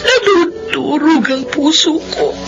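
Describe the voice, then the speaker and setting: A woman's voice: sits high, slow pace, highs cut off. An actress playing an anguished, caring mother in an emotional Filipino radio drama. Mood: anguished, grieving. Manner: emotional, expressive. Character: anguished, caring mother of an ailing adult son. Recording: radio drama with loud music underneath.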